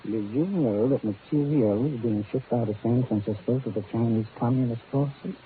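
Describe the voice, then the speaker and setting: A man's voice: medium pitch, normal speed, natural voice. An actor playing a self-important crusading newspaper man in a 1950s radio drama, pompous and oratorical. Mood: pompous, urgent. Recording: tinny, narrow old radio recording, faint noise.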